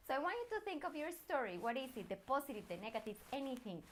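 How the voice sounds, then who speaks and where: A woman's voice: high voice, sing-song, quick pace, a little hiss or hum. A woman from Colombia with a Colombian accent and native Spanish, speaking as a personal branding coach in an encouraging, earnest motivational talk straight to the listener.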